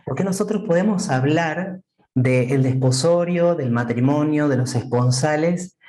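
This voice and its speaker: A man with an Argentine accent, a priest from Entre Ríos, speaking as a guest in a measured, preaching cadence. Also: on the high side, mellow. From Argentina, Entre Ríos province. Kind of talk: calm explanatory talk. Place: remote, joining from another country.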